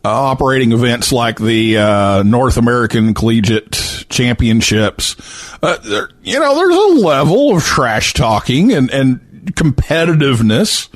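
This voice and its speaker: A man hosts a casual chat show with a very animated pitch that rises and drops often. His delivery is joking and teasing, and the sound is dry and close. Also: measured pace, no room sound. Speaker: podcast host.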